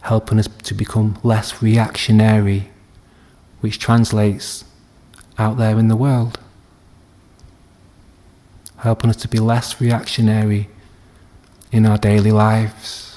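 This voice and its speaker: A man leading a guided meditation as a teacher, speaking gently and soothingly with long unhurried pauses. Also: on the low side, monotone. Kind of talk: guided body scan meditation.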